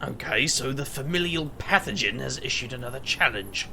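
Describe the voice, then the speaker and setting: A loyal, bumbling man, acted for an audio drama with a lively, swooping delivery. His voice is forceful and a bit gravelly, with wry, defensive comedy. There is faint noise in the recording. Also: medium pitch, normal speed, exaggerated voice. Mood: wry, puzzled. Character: bumbling, defensive, loyal male friend of a magician.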